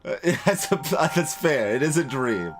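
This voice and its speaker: A man doing casual live game commentary as a streamer, thinking aloud in a halting, wry way. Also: mid-range voice, big pitch swings. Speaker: let's play streamer. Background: game music.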